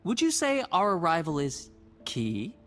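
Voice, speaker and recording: A woman's voice: fairly low pitch, huge pitch swings, measured pace. A woman playing a playful, teasing squad agent who loves puns, in a video game. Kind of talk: scripted game banter. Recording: music behind the voice.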